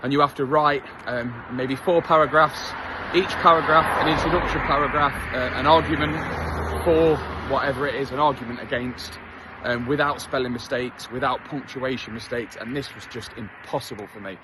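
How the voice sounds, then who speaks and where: English man, 30s, mid-pitched, candid self-deprecating vlog chat on a jog, faint street noise behind. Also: normal speed. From England. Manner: candid, self-deprecating, chatty with many fillers. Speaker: vlogger. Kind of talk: casual talk to camera while jogging. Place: outdoors on a street.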